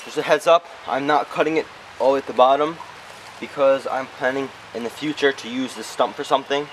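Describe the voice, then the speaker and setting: A man's voice: medium pitch, steady tone, brisk, fairly bright tone, a little hiss or hum, rough recording. A young man giving plain, matter-of-fact commentary as he works outdoors in a forest, recorded on a camera mic. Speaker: bushcraft vlogger. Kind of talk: casual narration while working. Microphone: camera mic on a tripod or handheld.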